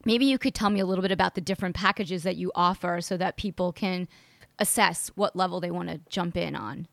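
A woman's voice: lowish voice, a little fast, creaky, no echo. Upbeat lady podcast host chatting with a guest, dry close mic. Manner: enthusiastic, conversational. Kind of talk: podcast interview.

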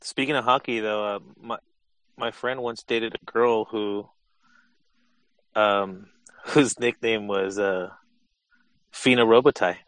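A man's voice: lowish voice, fairly even intonation, slow pace, long pauses, a bit bright, clear, resonant tone, no echo, low-quality recording. A man with a slight Californian accent telling stories on a sports podcast, hesitant and full of fillers. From Los Angeles. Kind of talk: casual storytelling chat.

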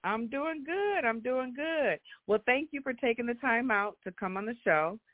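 High-pitched man hosting over a phone line. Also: lively intonation, normal speed, no room sound. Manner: friendly, affirming, repeats the guest's words back. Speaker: show host. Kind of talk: casual interview chat. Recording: tinny phone-like line, dry.

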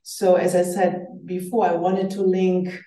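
A woman's voice: on the low side, level tone, measured pace, mellow, echoey room, far from the mic. A woman whose first language is German, speaking as an author in an earnest, reflective interview and often restarting her phrases. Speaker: author guest. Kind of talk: radio interview.

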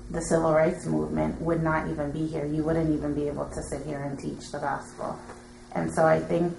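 A woman's voice: low voice, a little mellow. A woman in an audience making a casual, earnest comment to a teacher, informally and loosely phrased. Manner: informal, filler-heavy with frequent "like". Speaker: audience member. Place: indoor room with an audience. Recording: roomy echo with clear background noise.

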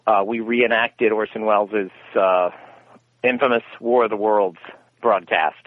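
A man's voice: fairly low pitch, steady tone, a little slow, no room sound. A man giving interview answers, telling stories in a rambling way and correcting himself as he goes. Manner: rambling, self-correcting storyteller. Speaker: guest, radio theater founder. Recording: tinny phone line, dry.

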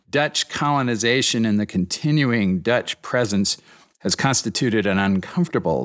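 A man's voice: sits low, a bit bright. A man hosting a history podcast, reading a scripted narration with dry, wry asides.

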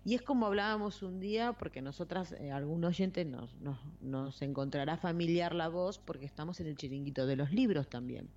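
Casting: Argentine woman, casual podcast co-host talking books, slightly low register, mildly muffled recording. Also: moderate pace, few pauses, darkish tone, slight background noise. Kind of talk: casual book chat.

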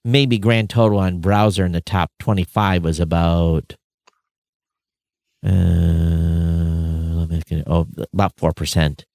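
A man's voice: sits low, fairly even intonation, a little slow, vocal fry, darkish tone, no echo. A man co-hosting a podcast, chatting casually and reading out figures off the cuff. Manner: chuckles often, reads out numbers off the cuff. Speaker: podcast co-host. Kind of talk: casual chat about industry figures.

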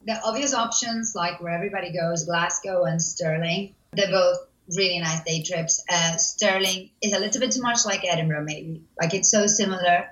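A woman's voice: fairly low pitch, moderate pace, few pauses, a bit bright. A woman guest recommending places with enthusiasm, often saying "like" as she talks. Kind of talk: casual travel recommendations. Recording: roomy, dull lo-fi audio.

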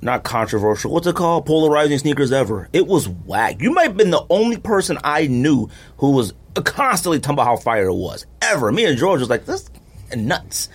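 A man's voice: on the high side, very animated, a little fast, slightly rough. A man hosting a casual podcast chat, talking in an emphatic, opinionated, slangy way. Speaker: podcast host.

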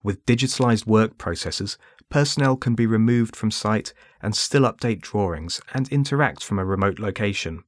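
A man reading scripted documentary narration in a measured way. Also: on the low side, no room sound, clean studio sound.

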